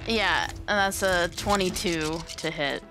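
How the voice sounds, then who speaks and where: A woman's voice: on the low side, a little slow, music in the background. A woman playing in a tabletop roleplaying game, chatting casually and wryly with some swearing. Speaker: guest player in a tabletop game. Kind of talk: casual in-character game chat.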